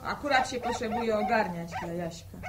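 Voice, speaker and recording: An actress playing a pert, excitable young village daughter in a 1960s radio drama. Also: female voice, mid-range voice, normal speed, close to an everyday voice. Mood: pert, dismissive. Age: young adult. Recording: noisy old radio recording.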